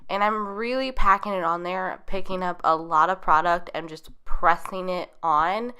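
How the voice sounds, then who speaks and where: A woman's voice: fairly low pitch, steady tone, normal speed, sharp tone, clear, resonant tone. A young woman narrating a makeup tutorial in a chatty, rambling way, her voice a little nasal as she gets over a cold. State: getting over being sick. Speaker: beauty vlogger.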